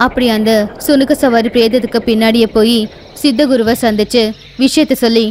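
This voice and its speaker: A woman narrating a scripted animated bedtime story. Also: on the high side, brisk, a little hiss or hum.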